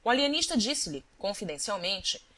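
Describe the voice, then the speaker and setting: A woman reading scripted literary narration as an audiobook narrator, with a measured, deliberate storytelling delivery. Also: medium pitch, very animated, sharp tone.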